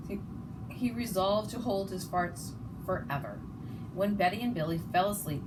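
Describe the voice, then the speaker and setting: A woman's voice: fairly low pitch, distant mic. A woman reading a children's story aloud expressively, sometimes stumbling and rereading words. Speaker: storybook reader. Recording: noticeable background noise.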